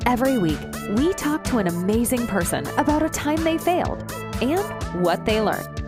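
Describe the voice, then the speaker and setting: A woman's voice: on the high side, sing-song, normal speed. A woman reading a scripted podcast introduction as an announcer. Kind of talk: scripted promotional narration. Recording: music bed under voice. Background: clear music.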